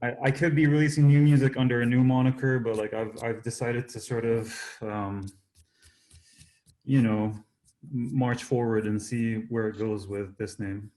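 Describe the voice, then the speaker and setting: Halting, casual man being interviewed. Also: mid-range voice, flat delivery, darkish tone. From Jordanian-born, Canada. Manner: hesitant, halting, repeats words. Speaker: singer-songwriter guest.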